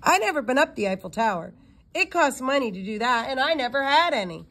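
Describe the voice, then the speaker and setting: A woman's voice: sits high, very animated, brisk, fairly bright tone, exaggerated voice. A woman voicing a streetwise boy spy from a storybook, playful and a little boastful about his city. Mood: plain, candid.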